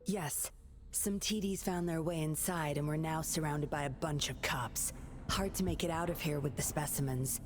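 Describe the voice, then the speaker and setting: A woman's voice: deep voice, moderate pace, music in the background, lightly acted. An actress playing a cool, sly, commanding female operative in a video game, with a controlled, taunting, menacing manner. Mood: controlled menace.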